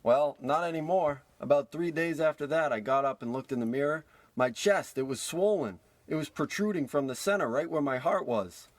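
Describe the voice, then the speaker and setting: A man acts out an old miser trying to be good in a spoof of vintage radio drama. His voice sits high, and he comes across as cranky, self-absorbed and manic. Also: expressive, normal speed, hardly any pauses, no room sound, close to an everyday voice. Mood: sorrowful, confessional.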